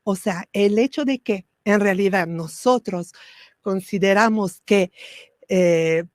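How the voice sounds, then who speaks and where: A woman whose first language is Italian gives a spiritual teaching talk, slow and measured, with pauses and fillers. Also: medium pitch, darkish tone, dry recording, clean studio sound.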